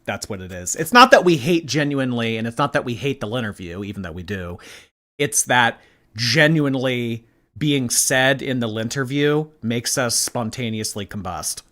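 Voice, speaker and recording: A man's voice: mid-range voice, lively intonation, moderate pace, a bit bright. A man giving blunt, sarcastic, profane reaction commentary as the channel's host. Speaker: commentary YouTuber.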